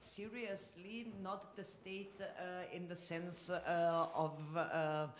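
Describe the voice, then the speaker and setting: A man in a conference audience, asking a question hesitantly, with many pauses and fillers. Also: high-pitched, level tone, a little hiss or hum. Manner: hesitant, full of long pauses. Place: conference hall.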